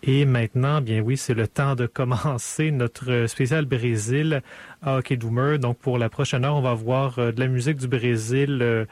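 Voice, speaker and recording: A man hosting a radio music show, relaxed and often hesitating. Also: mid-range voice, normal speed. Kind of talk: casual radio presentation.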